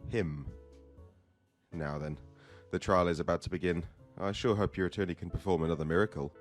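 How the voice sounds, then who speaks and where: A man's voice: low-pitched, fairly even intonation, a little fast, faint music behind, close to an everyday voice. A man playing a cynical, gloating prosecutor in an audio drama, smug and menacing in a formal way.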